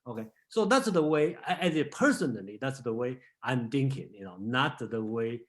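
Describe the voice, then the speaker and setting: A man's voice: medium pitch, lively intonation, normal speed. A man from Taiwan leading a casual group discussion, often repeating words and saying okay while he thinks. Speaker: discussion leader.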